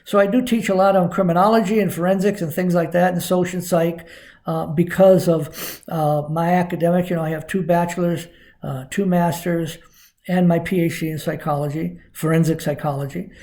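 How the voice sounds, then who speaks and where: A middle-aged man, a former investigator, giving an unscripted lecture on criminology, talking haltingly with many ums, uhs and restarts. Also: high voice, steady tone, darkish tone. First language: Spanish.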